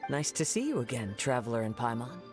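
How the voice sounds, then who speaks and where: A man voicing a calm young wandering samurai in an anime game, with gentle, polite, poetic phrasing. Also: mid-range voice, a little fast, close to an everyday voice. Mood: friendly, serene. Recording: music behind the voice.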